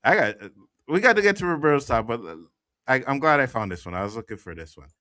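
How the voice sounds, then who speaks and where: A man's voice: medium pitch, sing-song, fast talker, pushed and bright, dry recording, studio quality. A man hosting a casual chat show, joking loosely and swearing. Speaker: host.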